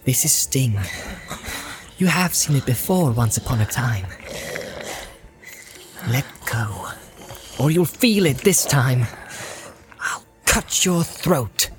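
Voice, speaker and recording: A man's voice: mid-range voice, animated, slow, long pauses, background noise. A man voicing an earnest hobbit master in a storybook reading, grave and firm yet merciful. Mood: stern, threatening.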